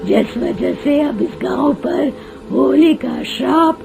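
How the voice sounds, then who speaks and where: A woman voicing the solemn, threatening ghost of a dead king, guarding his village in an animated horror story. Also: high voice, takes it slowly, gravelly, music in the background, pitched above the performer's own voice, full character voice, poor audio.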